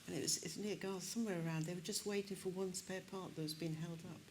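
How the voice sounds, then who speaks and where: A woman's voice: on the low side, steady tone, quick pace, a little mellow, a little hiss or hum. A woman moderating a live panel, speaking in a chatty, emphatic way and rephrasing as she goes. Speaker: session moderator.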